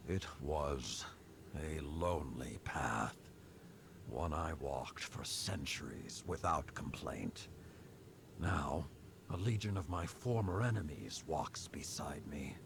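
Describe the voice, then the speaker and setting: An actor playing an old, weathered male warrior lord who speaks in a grave, measured way. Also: very deep voice, gravelly, heavily acted. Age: older. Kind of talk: game cutscene dialogue. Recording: noisy game audio.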